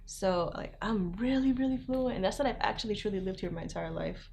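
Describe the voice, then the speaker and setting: A woman's voice: medium pitch, a little fast, vocal fry, darkish tone, a little hiss or hum. A young woman from New York who grew up in South Florida, a model being interviewed, talking casually and saying "like" often. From New York, raised in South Florida. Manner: chatty, peppers speech with "like", laughs easily. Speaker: guest model.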